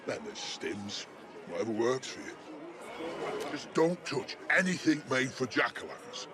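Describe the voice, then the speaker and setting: An actor playing a chatty, jaded older working-class British local in a cyberpunk video game, speaking in a slangy, matey, sardonic way. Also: male voice, fairly low pitch, lightly acted. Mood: casual, matey, then wry, chatty. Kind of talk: video game character dialogue.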